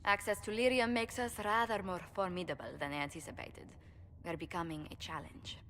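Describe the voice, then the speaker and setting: A woman plays a diplomatic ambassador in a fantasy game. Her voice is slightly low, measured and articulate, with formal tact. It has a light hall reverb. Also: fairly even intonation, a bit bright, close to an everyday voice. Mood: measured, concerned. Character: polished, diplomatic female ambassador, measured and pragmatic. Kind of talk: video game cutscene acting. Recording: hall reverb, faint background noise.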